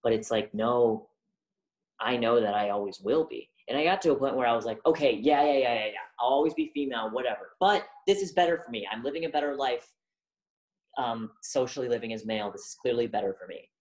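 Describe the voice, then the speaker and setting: Young woman, deep voice, halting thoughtful speech, roomy echo. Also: a little mellow. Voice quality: deep voice from testosterone. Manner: halting, thoughtful, many fillers and restarts. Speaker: guest. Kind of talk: reflective interview conversation.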